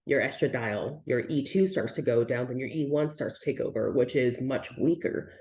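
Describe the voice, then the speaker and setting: A woman's voice: a bass voice, a little mellow. A woman in her twenties, a guest nurse and nutrition coach, talking candidly and casually over a remote call, swearing now and then. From Canada. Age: 20s. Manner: candid and breezy, swears casually, quick explanations. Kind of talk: casual podcast interview.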